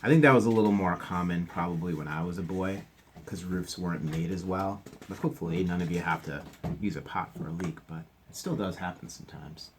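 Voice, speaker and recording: A man teacher reading a picture book aloud to children, stopping for gentle chatty asides. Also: sits low, brisk, a little hiss or hum.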